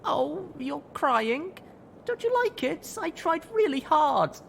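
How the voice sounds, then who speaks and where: A man's voice: very high voice, sing-song, slow, vocal fry, a bit bright, a little hiss or hum, voice pushed up, heavily acted. A man voicing a sweet, eager little girl pony with an innocent, singsong way of talking. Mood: worried, hurt.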